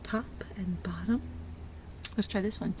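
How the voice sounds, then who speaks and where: A woman's voice: mid-range voice, unhurried, warm tone, slight background noise. A woman leading a lesson for young children in a playful, sing-song preschool teacher's manner. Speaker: early learning preschool teacher. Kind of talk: children's lesson with sung fingerplay rhymes.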